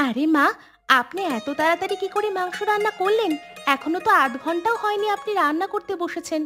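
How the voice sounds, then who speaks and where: A woman's voice: extremely high pitch, lively intonation, a little fast, bright, forceful tone, faint music behind, exaggerated voice. A woman playing a young village daughter-in-law in a cartoon story, competitive and eager to please, with a deferential yet boastful manner. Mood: amazed. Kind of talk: scripted cartoon story acting.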